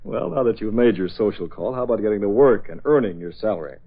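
An actor playing a calm, confident newspaper publisher with a reassuring manner, in a vintage radio drama. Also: male voice, lowish voice, quick pace. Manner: reassuring, assured. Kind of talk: 1940s radio acting. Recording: dull old radio recording with faint hiss.

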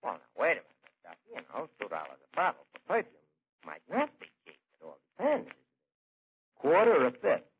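Slangy New York tavern manager. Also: male voice, on the high side, wildly swooping pitch, a little fast. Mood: wry. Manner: slangy malapropisms, hesitant drawling patter. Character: wisecracking, blustering tavern manager, an uneducated city guy. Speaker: comedy show lead. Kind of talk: old-time radio comedy acting. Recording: dull, noisy old radio recording.

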